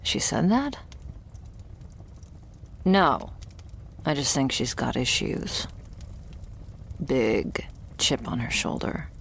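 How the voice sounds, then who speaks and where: Casting: actress as guarded young female vampire's servant, ASMR roleplay. Soft, half-whispered, gravelly fry, dry sardonic delivery, close mic with faint noise. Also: exaggerated voice. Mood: defensive, sardonic. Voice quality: low, soft voice often dropping into whisper. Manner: dry, hesitant, foul-mouthed, sighing delivery. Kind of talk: ASMR roleplay acting, intimate and conversational. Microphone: close ASMR microphone.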